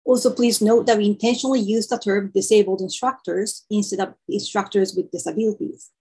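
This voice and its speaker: A woman's voice: mid-range voice, fairly even intonation, a little fast, darkish tone, husky. A Japanese woman who speaks English as a second language, carefully explaining the setup of an online workshop she facilitates over a video call.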